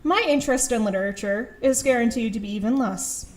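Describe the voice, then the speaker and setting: Woman acting high-voiced, grudging high school girl. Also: a little fast, a little hiss or hum, lightly acted. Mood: wry, resigned. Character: reluctant, exasperated high school girl gamer, privately soft-hearted. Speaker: narrator and lead character in an audio drama. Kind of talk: first-person narration and anime-style dialogue.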